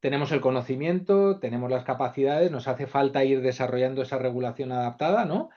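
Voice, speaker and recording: A man's voice: mid-range voice, brisk, highs cut off. A man hosting a webinar interview, summarizing and asking questions hesitantly with many fillers. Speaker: host interviewer.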